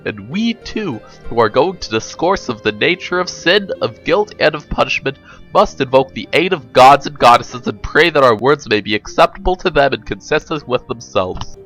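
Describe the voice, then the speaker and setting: A man reads as a stately, pompous arbiter in a mock-philosophical story. He speaks in formal rhetoric with animated intonation. The voice is bright, over faint music. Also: mid-range voice, moderate pace, lo-fi sound, lightly acted. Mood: declaiming.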